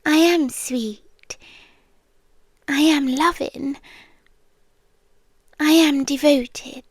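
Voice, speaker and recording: A possessive yandere sea creature played by a woman speaks in a high British voice, lingering very slowly over each phrase. She is sweetly menacing, soft and half-whispered. It is an intimate ASMR roleplay, close on the mic and completely dry. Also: fairly even intonation, no echo. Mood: veiled menace. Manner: soft, breathy half-whisper, drawn-out pauses. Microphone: close ASMR microphone.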